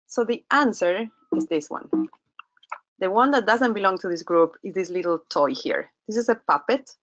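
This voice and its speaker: A woman in her fifties with an Argentine accent lectures over slides, talking in a loose, conversational way and putting questions to her listeners. Her pitch sits in the middle for a woman. The webinar audio comes through slightly dull and muffled. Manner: conversational, asks the audience questions, some hesitations. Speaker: professor giving a webinar lecture. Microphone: computer microphone in an online webinar.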